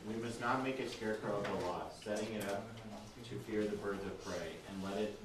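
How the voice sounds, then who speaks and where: A man's voice: lowish voice, a little fast. A male student reading Shakespeare aloud in a classroom. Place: indoor classroom. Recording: echoey room from far off, clear noise.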